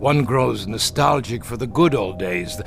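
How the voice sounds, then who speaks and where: An actor playing an arrogant, middle-aged former Nazi camp captain in a radio drama, indignant and full of self-justification. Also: male voice, mid-range voice, animated, measured pace, creaky, over music. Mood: evasive, wistful.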